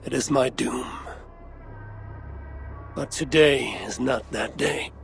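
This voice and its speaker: An actor playing a battle-hardened, honourable Viking warrior in a game cutscene, measured and solemn, with a gravelly voice. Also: male voice, mid-range voice, a little hiss or hum. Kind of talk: dramatic game cutscene acting.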